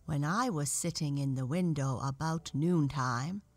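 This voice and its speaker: An observant toy doll read by a woman speaks in a low voice. The character is sure and confident, a small tracker from a children's storybook. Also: measured pace, darkish tone, no room sound.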